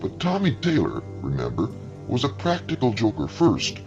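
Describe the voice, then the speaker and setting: A man's voice: mid-range voice, wildly swooping pitch, moderate pace, lo-fi sound, over music. A man narrating a scripted story in a conversational way, with wry asides to the listener.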